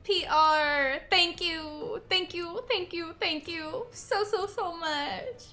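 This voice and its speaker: A young adult woman streaming a game and chatting with viewers, slightly hoarse, talking in a loose, rambling way full of "like" and repeated thank-yous. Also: extremely high pitch, takes it slowly, bright, forceful tone, soft background music. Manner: chatty, many filler likes, repeats thanks. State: a bit hoarse, sore throat. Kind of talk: casual chat while gaming.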